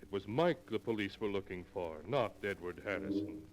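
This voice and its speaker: Male actor as ominous storyteller, lowish pitch, solemn dramatic narration, faintly noisy forties radio recording. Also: expressive, normal speed, lightly acted. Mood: suspenseful, solemn. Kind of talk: 1940s radio scripted narration.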